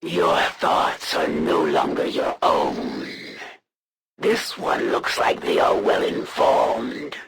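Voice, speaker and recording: A zealous alien assassin from a video game, haughty and menacing. The delivery is slow and formal, swinging dramatically in pitch, with some noise on the recording. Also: fairly bright tone, full character voice. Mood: haughty menace. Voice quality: gravelly, heavy creak. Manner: menacing, imperious, theatrical, formal. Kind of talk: video game villain voice lines.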